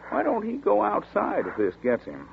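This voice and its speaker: An actor playing a chatty small-town sheriff's deputy who talks in a folksy rural dialect, in 1940s radio acting. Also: male voice, sits high, huge pitch swings, normal speed, gravelly, full character voice. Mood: storytelling. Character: folksy drawling small-town male deputy, middle-aged. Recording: tinny scratchy 1940s radio broadcast.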